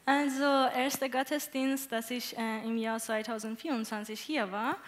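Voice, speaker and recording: A young woman from Iran with a Persian accent, a native Persian speaker, giving an earnest, halting testimony on a church stage with many fillers. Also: on the high side, steady tone. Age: young adult. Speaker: church moderator and interpreter.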